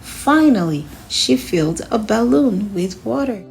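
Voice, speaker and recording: Woman, children's read-aloud host, animated pitch, unhurried pace, expressive storytelling, soft background music. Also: creaky, rough recording. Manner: expressive, addresses young listeners with questions.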